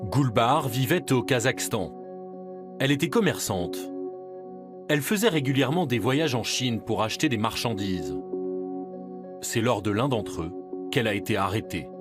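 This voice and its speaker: A male documentary narrator with a French accent from France, speaking at a middle pitch with lively intonation. His scripted delivery is measured and serious, with a dramatic edge, and music plays clearly behind him. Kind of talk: scripted investigative voice-over narration. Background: background music.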